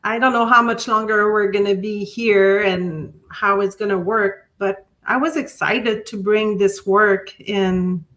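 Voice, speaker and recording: Lady, talks slow, lots of ums, video call. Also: mid-range voice, steady tone, a bit bright. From Quebec, Canada. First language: French. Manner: slow, hesitant, frequent ums and restarts. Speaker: art teacher and coach. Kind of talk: interview answers.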